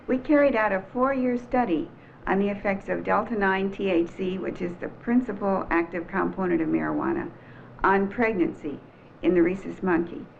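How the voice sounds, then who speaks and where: A woman researcher explaining findings in an interview, with measured, halting and precise scientific phrasing. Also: mid-range voice, creaky. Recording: dull, slightly noisy old film interview.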